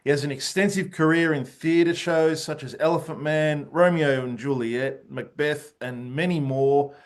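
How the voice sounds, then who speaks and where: A man's voice: fairly high pitch, measured pace. A man hosting an interview podcast, opening with a read introduction and then asking friendly, keen questions. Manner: enthusiastic, with a scripted introduction. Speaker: podcast host.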